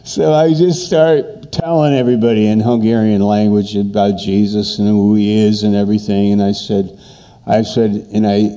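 A man preaching a sermon as a pastor, emphatic and repetitive, with pauses between phrases. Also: on the low side, warm tone.

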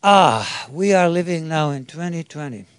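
A man preaching a sermon to a congregation, emphatic and halting, with restarts and questions put to his listeners. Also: on the high side, expressive, clear, resonant tone, no room sound.

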